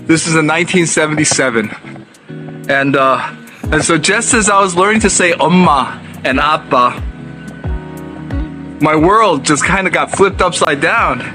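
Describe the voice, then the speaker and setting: A man's voice: a little high, moderate pace, long pauses, pushed and bright, faint music behind. Middle-aged American-accented man, reflective vlog. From Korea, raised in America. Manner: reflective, personal, conversational. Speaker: vlog host. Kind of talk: walking travel vlog narration. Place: outdoors on city streets.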